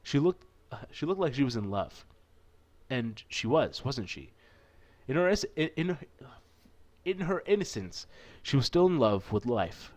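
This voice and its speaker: A man with a medium-pitched voice reads a visual novel aloud for a playthrough. He is informal and often corrects himself, slipping between narration and casual asides. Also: very animated, measured pace, a little mellow. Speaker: let's play narrator.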